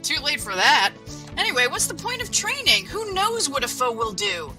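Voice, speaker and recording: A woman's voice: high voice, sing-song, normal speed, pushed and bright, soft background music. A woman reading cartoon lines and bantering at a casual table read. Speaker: cast member in a table read.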